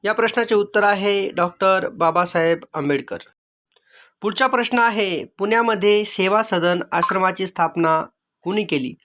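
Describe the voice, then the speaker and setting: Man, very high pitch, bright forceful tone, quiz teacher, measured formulaic reading of questions and options. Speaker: exam-prep quiz teacher. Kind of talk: reading out multiple-choice quiz questions and answers.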